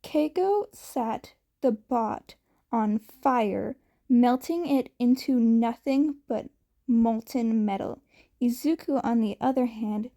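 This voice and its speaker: A woman's voice: fairly high pitch, mellow. A woman narrating a scripted story as a podfic reader, occasionally stumbling over the script.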